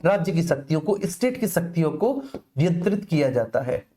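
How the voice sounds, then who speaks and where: A man's voice: on the high side, big pitch swings, a little fast, dark tone. A man teaching an informal live online exam class, instructing repetitively and often checking that students follow.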